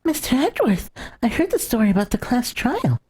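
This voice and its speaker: Woman playing a high, animated girlish assistant. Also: fairly quick. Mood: curious. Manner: emotive, stumbling slightly over lines. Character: excitable, caring young female legal assistant. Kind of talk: reading visual novel game dialogue aloud. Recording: faint music behind the voice.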